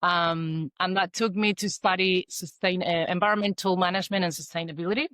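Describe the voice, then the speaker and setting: Argentine woman, Australian-tinged accent, lower voice, dry close sound, halting thoughtful guest talk. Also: fairly even intonation, fairly bright tone, no room sound. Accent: Argentine Spanish mixed with Australian. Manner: thoughtful, halting, many fillers and restarts. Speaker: guest, environmental consultant. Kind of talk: podcast interview.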